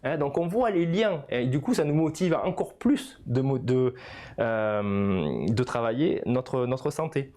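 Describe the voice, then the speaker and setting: A man's voice: mid-range voice, animated, unhurried, a little mellow. Man in his fifties, informal coaching lesson. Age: 50s. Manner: didactic, punctuates phrases with "hein" and "voilà". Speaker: personal development coach. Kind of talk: informal video lesson, explanatory.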